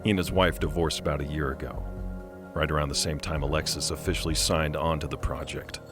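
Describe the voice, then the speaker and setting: A man playing a guarded, defiant company executive in an audio drama, speaking in a measured, formal, evasive way. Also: extremely low pitch, fairly even intonation, over music.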